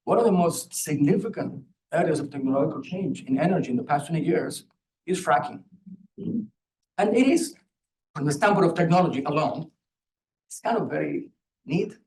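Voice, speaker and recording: A man from Puerto Rico with a Puerto Rican accent, a professor speaking on a panel in a lively, joking way that quizzes the audience. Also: fairly high pitch, moderate pace, pauses often, poor audio. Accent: Puerto Rican Spanish. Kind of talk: panel talk.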